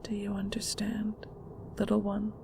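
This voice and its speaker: Actress as controlling matriarch of a magic clan: gravelly, unhurried, condescending endearments, often breathy, noisy ambience behind. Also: female voice, mid-range voice, monotone. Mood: hushed, manipulative. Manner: slow, deliberate, condescending, with terms of endearment. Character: stern, manipulative mother of a secret magical family. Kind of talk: scripted audio roleplay drama.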